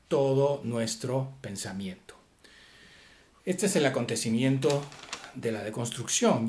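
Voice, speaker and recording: A man giving an unscripted philosophy lecture, pausing often with fillers and asking rhetorical questions. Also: mid-range voice.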